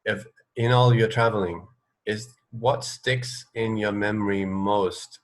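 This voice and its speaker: A man's voice: fairly low pitch, fairly even intonation, takes it slowly. A man hosting a casual radio interview, talking in a thoughtful, hesitant and rambling way.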